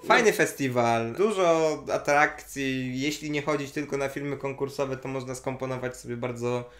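A man's voice: medium pitch, normal speed, fairly bright tone, a little hiss or hum. Young man chatting in an echoey room. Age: 20s. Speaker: guest film reviewer. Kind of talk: casual film discussion chat. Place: small room indoors, on a sofa.

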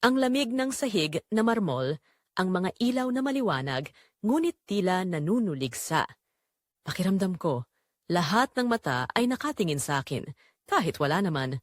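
A woman's voice: fairly low pitch, very animated, moderate pace, dry recording, clean studio sound. A woman storyteller reading a scripted first-person romance drama in a dramatic narrating style.

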